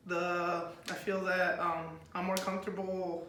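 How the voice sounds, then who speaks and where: A young man whose first language is Spanish answers interview questions, speaking with hesitant pauses and many fillers. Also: sits high, little pitch movement, sharp tone. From United States. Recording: echoey room, far from the mic, faint noise.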